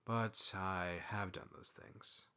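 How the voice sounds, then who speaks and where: A man's voice: low-pitched, fairly even intonation, slow, pushed and bright, smooth, voice pushed down, full character voice. A man voicing a centuries-old, self-righteous husband in a storybook, earnest and questioning. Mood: defensive.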